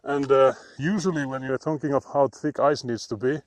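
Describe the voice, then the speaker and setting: A man's voice: medium pitch, steady tone, normal speed. A man with a Finnish accent, chatting casually and laughing often while live-streaming on a frozen lake. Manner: chatty, laughs often, reads chat aloud.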